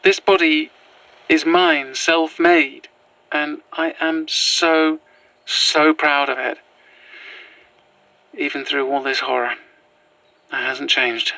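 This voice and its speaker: A woman playing an earnest, gentle transgender woman in a horror audio drama, speaking in a sincere, halting way. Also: low-pitched, steady tone, no echo, heavily acted, poor audio. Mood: earnest, tender. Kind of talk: found-footage horror audio drama acting.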